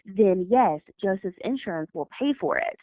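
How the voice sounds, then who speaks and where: A woman's voice: medium pitch, lively intonation, moderate pace. An American woman speaking as a caseworker on the phone, explaining and correcting in a firm, emphatic way. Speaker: child services caseworker. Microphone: far end of phone call. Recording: tinny, band-limited phone line.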